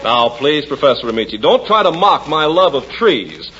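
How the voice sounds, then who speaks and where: A man's voice: on the high side, big pitch swings, moderate pace, sharp tone. A man in folksy, emphatic 1940s radio style, announcing and trading patter on an old broadcast. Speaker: radio announcer and comic performer. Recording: muffled 1940s radio recording, noisy.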